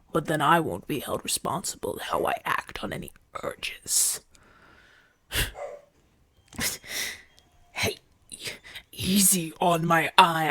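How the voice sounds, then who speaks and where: A man's voice: fairly high pitch, fairly bright tone, slight background noise. A man playing a proud, impatient, flirtatious champion gladiator in pain, brusque and grumbling, in an ASMR audio roleplay. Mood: irritated, pained. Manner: brusque, demanding, grumbling, sighing through pain.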